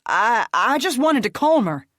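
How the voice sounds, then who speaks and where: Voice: mid-range voice, big pitch swings, measured pace, a bit bright, no echo, clean studio sound, voice pushed up. A narrator voicing a cornered male vampire, cowardly yet spiteful, wheezing and sputtering in a raised voice. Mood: desperate.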